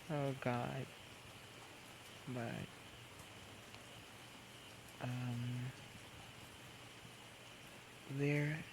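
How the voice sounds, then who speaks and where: A man playing a drunk, clingy, playful young boyfriend in an intimate ASMR roleplay, with slurred, coaxing, halting speech. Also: medium pitch. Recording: noisy homemade microphone recording.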